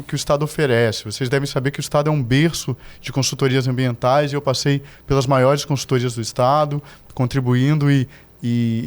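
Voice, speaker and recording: A middle-aged man from Salvador, Brazil, a podcast guest giving measured, explanatory answers about environmental management. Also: mid-range voice. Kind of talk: formal interview answers.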